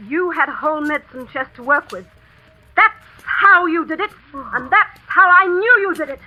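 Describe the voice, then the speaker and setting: An actress playing a cold, scheming schoolmistress in a vintage radio suspense drama, deliberate and sardonic in manner. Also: female voice, high-pitched, expressive, muffled recording, soft background music. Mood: triumphant. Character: cold, commanding schoolmistress, accusing and scheming, secretly a murderess. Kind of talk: 1940s radio suspense acting. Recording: noisy old radio drama recording.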